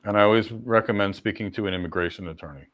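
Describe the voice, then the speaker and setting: A middle-aged man, a career coach, answering viewer questions in a casual live Q&A, talking confidently in long runs with repeated words and quick asides. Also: lowish voice, a bit bright. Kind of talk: live Q&A, casual explanatory talk.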